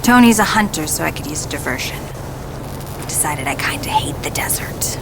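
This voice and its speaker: A woman's voice: sits low, animated, moderate pace, gravelly, in a whisper, rough recording. An actress playing a brash, reckless young woman in a video game cutscene, sarcastic and flippant. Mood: subdued, wry.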